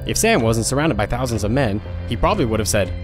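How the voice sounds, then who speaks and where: A man narrating an audio drama in a steady, plain storybook style. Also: mid-range voice, fast. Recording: music bed underneath.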